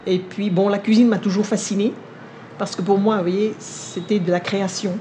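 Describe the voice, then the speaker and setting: An older woman whose first language is Vietnamese, speaking in a sit-down interview in a living room, measured and thoughtful with frequent pauses. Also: medium pitch, fairly even intonation, warm tone, slight background noise. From India. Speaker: cookbook author.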